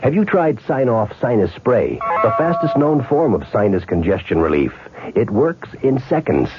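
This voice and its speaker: A man's voice: medium pitch, sing-song, moderate pace, a little hiss or hum. A man announcing a 1970s radio commercial in an earnest, persuasive way. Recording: dull old radio broadcast with loud music.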